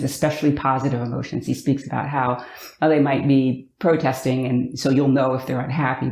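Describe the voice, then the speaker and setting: An upbeat, expressive American woman from Virginia, talking to the camera in a vlog and drawing out words for emphasis. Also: a bass voice. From Virginia, USA. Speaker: vlogger. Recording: echoey room.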